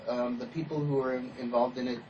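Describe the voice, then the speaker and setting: A man's voice: mid-range voice, flat delivery, quick pace, distant mic, muffled recording. Man explaining things in a noisy interview. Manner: explanatory, illustrates with examples. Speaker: interview guest, playwright and producer. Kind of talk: casual radio interview.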